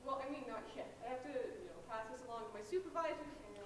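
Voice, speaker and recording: A friendly but flustered admissions interviewer acted by a woman on a student stage, hesitant and appeasing. The voice is high and quick, picked up from far away with heavy echo. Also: lightly acted. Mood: apologetic. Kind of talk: student one-act stage play acting. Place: theater, recorded from audience distance. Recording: echoey room, distant noisy mic.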